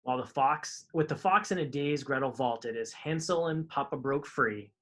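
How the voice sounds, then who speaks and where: Guy doing a live storytime for kids, reading rhyming books, informal, mid-pitched voice. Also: moderate pace, fairly bright tone, slight background noise. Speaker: karate instructor reading children's books aloud. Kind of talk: live storytime read-aloud to children.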